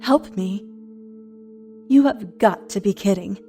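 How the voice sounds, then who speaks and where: A woman's voice: mid-range voice, slow pace, mellow. A woman playing a bitter, sarcastic, century-old shapeshifting monster in an audio horror drama, combative and foul-mouthed. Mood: furious. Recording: music under the voice.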